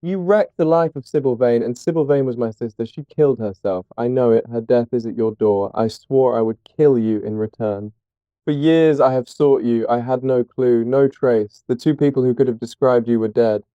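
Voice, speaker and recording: Male narrator as brutish sailor, vengeful, commanding, smooth clear tone, slightly muffled dry recording, audiobook drama. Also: medium pitch, normal speed, dry recording, pitched above the performer's own voice, lightly acted. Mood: menacing. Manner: threatening, commanding. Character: vengeful, brutish sailor demanding revenge for his sister. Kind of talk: dramatised audiobook character reading.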